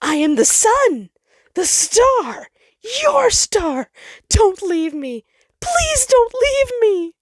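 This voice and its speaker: Woman acting a desperate, high-pitched fallen male star. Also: big pitch swings, drawn-out words, dry recording, full character voice. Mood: panicked pleading. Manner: desperate, sobbing, shaky-voiced pleading. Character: frightened, pleading fallen male star in human form.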